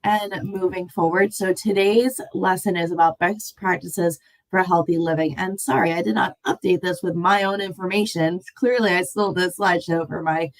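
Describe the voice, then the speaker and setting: A woman leads an online nutrition class in a lowish, cheerful voice. She sounds a little nasal and congested, recovering from laryngitis, and talks through a video call mic. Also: fairly even intonation, moderate pace, low-quality recording. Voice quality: slightly nasal. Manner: upbeat, chatty teacher. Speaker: nutrition educator.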